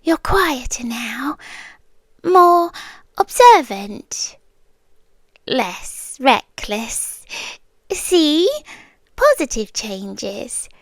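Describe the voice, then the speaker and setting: A British woman playing a possessive, calmly menacing yandere girlfriend in an intimate ASMR roleplay, breathy and often dropping to a whisper. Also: high voice, no room sound, full character voice. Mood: condescending, tender. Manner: slow, deliberate, breathy, drifting into whispers.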